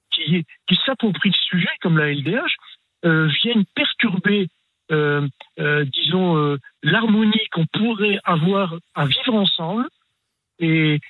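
A man's voice: high voice, slightly rough, dry recording. An older man from France calling in to a radio talk show, speaking hesitantly with many fillers and restarts. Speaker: caller. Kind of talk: radio call-in. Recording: tinny phone line.

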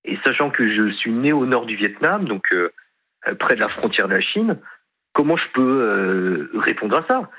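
A man calling in to a radio show, speaking hesitantly and haltingly, full of fillers. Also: medium pitch, very animated. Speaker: caller. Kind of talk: phone-in conversation. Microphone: telephone. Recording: tinny phone line.

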